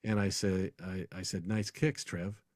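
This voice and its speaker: A man with a fairly low voice talks as an interview guest and arena announcer. He tells anecdotes in a casual, conversational style. The sound is dry, with no room echo. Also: flat delivery, a little slow.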